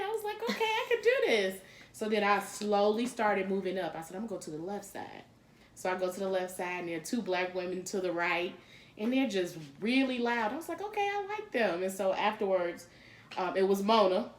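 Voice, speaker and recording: A woman from Chicago co-hosting a podcast, telling stories in casual chat and acting out the voices of the people she quotes. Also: brisk. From Chicago, south side. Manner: animated, acts out quoted voices with big pitch jumps. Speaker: podcast co-host. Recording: roomy echo with faint background noise.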